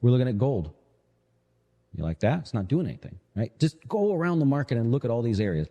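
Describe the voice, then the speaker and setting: A man's voice: medium pitch, big pitch swings, fast, warm tone. A man hosting a live financial show, giving casual, conversational market commentary peppered with rhetorical check-in questions, his voice echoing. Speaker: financial show host, investment manager. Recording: echoey hall-like reverb on voice.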